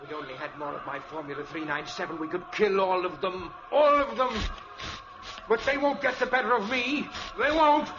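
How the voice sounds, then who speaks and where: A man's voice: high voice, moderate pace, music in the background, close to an everyday voice. A male actor playing a paranoid, agitated professor driven mad by insects, in melodramatic 1940s radio style. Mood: crazed, defiant. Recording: dull old radio drama recording.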